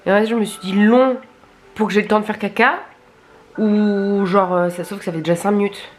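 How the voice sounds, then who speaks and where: A woman's voice: medium pitch, steady tone, a little fast. A young adult woman chatting casually to camera in a candid, crude, colloquial way, grumbling and poking fun at herself. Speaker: vlogger filming stories. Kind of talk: casual confessional chat to camera.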